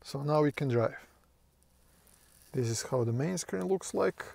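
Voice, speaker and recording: A man's voice: on the high side, expressive, measured pace, gravelly, a little mellow, no echo. A man, rambling casually and hesitantly through a review of his new car from inside it, swearing now and then. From Croatia. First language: Croatian. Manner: loose, hesitant, full of fillers and restarts, swears casually.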